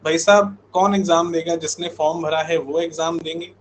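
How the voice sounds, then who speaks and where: A man's voice: a little high, fairly even intonation, moderate pace, a little hiss or hum, poor audio. A man in his thirties from India talking informally as an online coaching teacher, chatting with his live class and often checking in. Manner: informal, conversational, repeats check-in tags. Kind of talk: casual live class chat.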